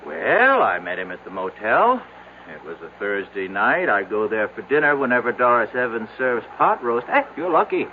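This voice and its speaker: Folksy country doctor, animated old-radio voice. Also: male voice, lowish voice, narrow, tinny audio. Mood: wry, teasing. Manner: teasing, drawn-out, homespun storytelling. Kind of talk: old-time radio drama acting. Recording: noisy, band-limited vintage radio drama recording.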